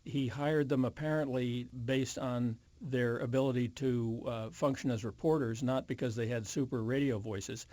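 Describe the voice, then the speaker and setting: An older man as an interview guest, reflecting in a measured way with careful word choice. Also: medium pitch, steady tone, darkish tone, no room sound.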